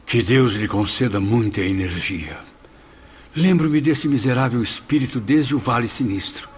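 An actor in a radio drama playing a concerned, respectful spirit visitor who speaks formally and earnestly. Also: male voice, medium pitch, animated, moderate pace, husky.

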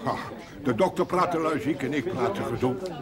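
A male actor playing a stubborn, brisk village husband in colloquial television drama dialogue. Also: mid-range voice, a loud crowd, natural voice. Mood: dismissive. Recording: lively background sounds, 1970s television soundtrack.

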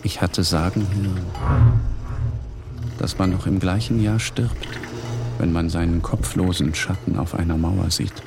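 Guy reading dark literary fiction, lowish gravelly voice, brooding, clear music underneath. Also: level tone, unhurried. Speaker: narrator. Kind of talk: dramatic literary narration, first person.

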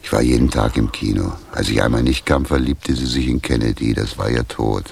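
An actor playing a blunt, mocking homeless man in a radio play, with a gravelly voice. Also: brisk, exaggerated voice. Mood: wistful. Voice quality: very deep, gravelly. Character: coarse, sardonic homeless drifter, ex-lathe worker from Kreuzberg. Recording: 1980s radio drama with faint noise.

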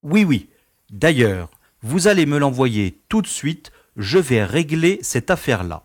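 An actor playing an easygoing, self-important prison director in an audio comedy, speaking in a courteous, formal, paternal way. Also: male voice, medium pitch, big pitch swings, a little slow, no room sound, studio quality. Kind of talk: French radio comedy acting.

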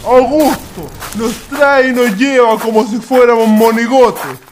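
A man's voice: very high-pitched, a little slow, slight background noise. An actor playing an irritable, complaining male traveler in a radio drama. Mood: resentful. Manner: indignant, grumbling.

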